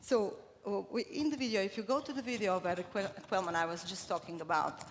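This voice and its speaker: A woman's voice: mid-range voice, fast. A middle-aged woman from Bulgaria, speaking English as a second language and giving a conference presentation, with frequent fillers and restarts. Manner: hesitant, many fillers and restarts. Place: large conference hall. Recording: reverberant hall, faint noise.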